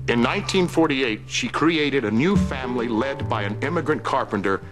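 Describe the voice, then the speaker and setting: An actor as a grave old-time radio announcer, narrating dramatically over organ music. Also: male voice, mid-range voice, low-quality recording. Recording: loud organ music behind.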